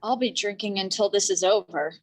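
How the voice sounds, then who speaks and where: A woman's voice: mid-range voice, brisk, close to an everyday voice. A woman in a staged play reading, playing a dry, wry young woman working in film.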